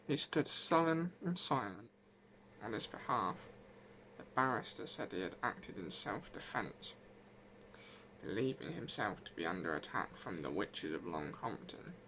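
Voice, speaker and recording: Storybook ghost of an old country wise woman played by a man, gravelly, folksy, wronged, faint noise behind. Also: on the low side, fairly bright tone, low-quality recording. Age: 80s. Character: ghost of a gentle, wronged old village herbwoman. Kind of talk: first-person folk tale narration.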